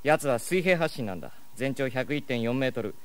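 A man's voice: medium pitch, animated, normal speed, clean tone, natural voice. An actor playing a take-charge, technically minded young man, decisive and explanatory, in a dramatised audiobook. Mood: serious.

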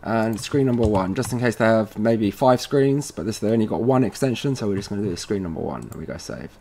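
A man presenting an informal software tutorial, casually thinking aloud as he goes step by step. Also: lowish voice, expressive, fairly quick. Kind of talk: informal screen-recorded software walkthrough. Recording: noisy room with keyboard typing.

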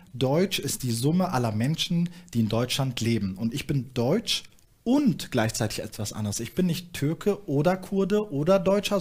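A man's voice: mid-range voice, darkish tone, breathy edge. A young man on a studio panel, explaining his views earnestly with frequent fillers. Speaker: panel guest, activist. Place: studio stage with small audience.

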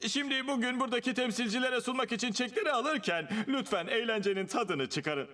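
An actor playing an effusive, polite school dean with a formal, ceremonious way of speaking in a dubbed cartoon. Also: male voice, very high voice, expressive, a little fast, very noisy. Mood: formal, welcoming. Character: gushing, polite school dean hosting a gala.

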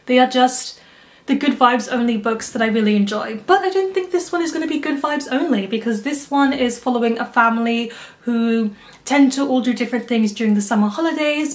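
A young woman chatting enthusiastically in a casual reading vlog, filmed indoors at home by a camera facing her. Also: fairly high pitch. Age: young adult. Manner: chatty and enthusiastic, laughs easily. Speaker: book vlogger. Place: small room at home, indoors.

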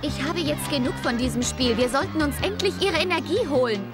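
A woman's voice: high-pitched, lively intonation, fairly quick, over music. An actress playing an impatient, scheming young female alien villain in an anime audio drama, haughty and scornful.

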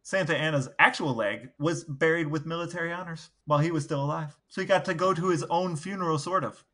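Guy on a podcast telling stories casually, kinda high bright voice, wry. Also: fast talker. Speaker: podcast co-host. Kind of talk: casual storytelling chat.

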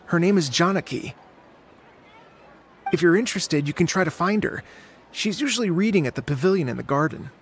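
Humble young student, gravelly, a bit high, faint hiss behind. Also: male voice, animated, a little fast, pauses often. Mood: helpful. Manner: polite, self-deprecating. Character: modest young male student, helpful.

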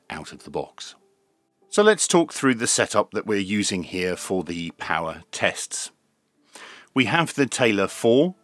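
Guy doing a hands-on review at his desk, lowish voice, really dry close sound, walks thru it step by step. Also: a bit bright, dry recording. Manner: methodical, step-by-step explaining. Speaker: radio reviewer, channel host. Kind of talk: informal hands-on product review and demonstration. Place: small indoor room at a desk.

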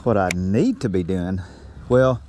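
Guy rambling folksy while fishing, kinda low voice, noisy outdoor action cam audio. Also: a little slow. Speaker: fishing vlogger. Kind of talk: casual chat while fishing. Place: outdoors on a small boat on calm water. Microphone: body-worn action camera. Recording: steady noise from outdoor action camera.

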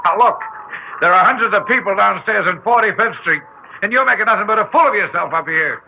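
An actor playing a tough, blustering older businessman father in an old-time radio drama, blunt, rough and slangy in speech. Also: high-pitched, fairly quick. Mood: defensive. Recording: muffled, noisy old radio recording.

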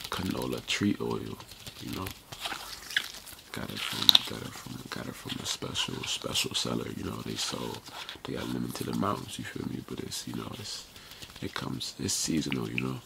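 A young American man performs an ASMR barber roleplay in an extremely deep murmur full of gravelly fry. He repeats casual, slangy reassurances right up at the mic. The recording is very noisy, with clicks and rubbing sounds. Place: small room indoors.

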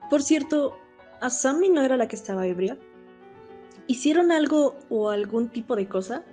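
A woman's voice: sits high, expressive, moderate pace, dark tone, soft background music. A woman playing a nosy, insistent young girl in an amateur comic fandub. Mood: curious.

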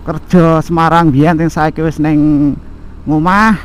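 A man with a Javanese accent chatting casually while riding a motorbike, talking to viewers through an action camera. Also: a little high. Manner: slow, relaxed chatty narration in Javanese mixed with Indonesian. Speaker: motovlogger. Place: riding a motorbike on an outdoor road. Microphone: helmet or bike-mounted action camera. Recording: faint road and traffic noise.

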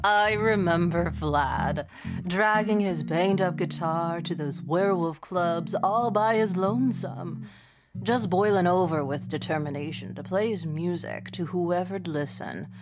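A woman's voice: lowish voice, unhurried. A woman playing a fond, salty old queen of country music, reminiscing in a folksy, sassy drawl. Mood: nostalgic, amused. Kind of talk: documentary interview acting.

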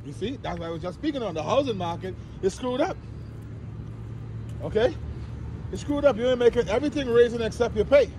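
A man's voice: very high voice, animated, brisk, long pauses, dark tone. A man giving a casual religious talk while out walking, emphatic and colloquial, repeating his phrases. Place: outdoors, walking. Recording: noisy outdoor recording, traffic or wind noise.

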